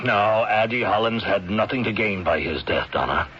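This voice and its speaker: A man acting in a 1970s radio drama as a suspicious, firm doctor who questions people deliberately. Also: on the low side, lively intonation, natural voice. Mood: probing, firm. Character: suspicious male doctor, old family friend, firm and probing. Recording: muffled, hissy 1970s radio broadcast.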